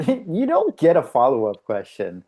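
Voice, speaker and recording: A woman's voice: low-pitched, wildly swooping pitch, unhurried, mellow, full character voice. Direct, probing woman on a date acted. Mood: playful, insistent. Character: direct, curious date with a Mexican grandfather. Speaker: actor at a live script read. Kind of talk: conversational screenplay table read.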